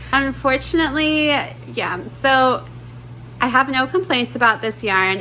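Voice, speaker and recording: A woman's voice: fairly high pitch, sharp tone. A young woman with a slightly scratchy voice chatting casually while she crochets for her video, rambling with lots of fillers. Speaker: crochet vlogger. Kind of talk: casual chat.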